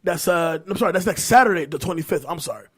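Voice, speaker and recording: A man's voice: a little high, expressive, fast, crowd noise. A man of about thirty hosting a podcast with casual, slangy hype and some swearing. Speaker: podcast host.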